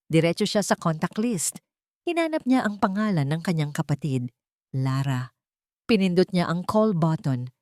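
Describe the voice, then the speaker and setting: A woman's voice: lowish voice, dark tone, no room sound, clean studio sound. A woman narrating a dramatic story in an emphatic, suspenseful way, often in short broken phrases. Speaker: audiobook narrator.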